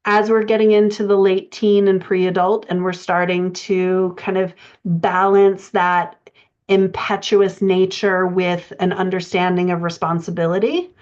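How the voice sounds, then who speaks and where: A woman coaching writers in an explanatory lecture style, speaking haltingly with pauses as she thinks through her points. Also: lowish voice, little pitch movement, darkish tone. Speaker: writing coach presenting a podcast lesson. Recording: dull, band-limited audio.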